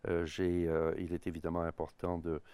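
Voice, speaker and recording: Low-voiced professor, hesitant. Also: male voice, completely flat delivery, mellow, smooth, no echo. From Canada. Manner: hesitant, many "euh" fillers, measured. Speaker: history and ethnology professor. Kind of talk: interview, career account.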